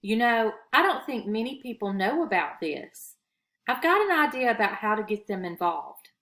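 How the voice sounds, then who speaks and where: A woman's voice: a little high, big pitch swings, normal speed, fairly bright tone. A woman voicing an anxious but idea-driven sixth-grade boy from a storybook, speaking earnestly and emphatically. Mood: eager, plotting.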